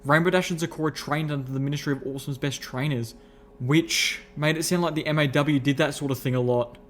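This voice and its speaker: A man's voice: a little high, steady tone, a little fast, a little hiss or hum, natural voice. A man voicing a small, awkward young female pony who talks hesitantly and sheepishly in a story. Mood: nervous, confessing.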